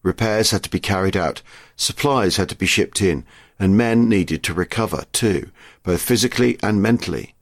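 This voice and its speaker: A man's voice: lowish voice, normal speed, no echo, studio quality. A man co-hosting a history podcast, giving explanatory narration. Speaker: podcast co-host.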